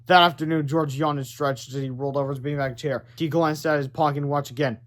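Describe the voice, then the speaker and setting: A man's voice: a little high, steady tone, a little fast. A man narrating a children's storybook aloud as its reader.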